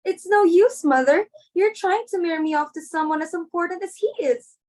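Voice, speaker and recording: Woman playing a high-voiced, timid young daughter. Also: normal speed, darkish tone. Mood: plaintive, reluctant. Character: reluctant, timid young unmarried society daughter. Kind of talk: amateur dramatic reading of a stage comedy.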